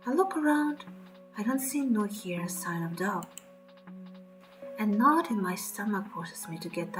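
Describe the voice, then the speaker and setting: A woman streamer reading horror game narration aloud in a dramatic, breathy storytelling way, with short reactions of her own. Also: mid-range voice, low-quality recording. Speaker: streamer playing a horror visual novel. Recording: background music under the voice.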